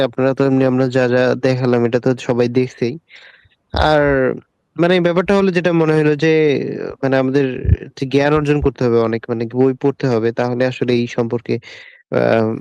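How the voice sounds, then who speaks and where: A man talks quickly and casually as a panelist in a live discussion. His voice is smooth and clean, with a very dry sound around it. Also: medium pitch, a little mellow, no echo.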